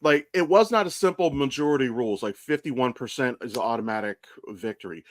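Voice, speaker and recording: An American man co-hosting a podcast, chatting casually and telling stories in a blunt, animated way with plenty of swearing. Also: medium pitch, brisk, dry recording. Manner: blunt, profane, animated storytelling. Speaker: podcast co-host. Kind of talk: casual chat.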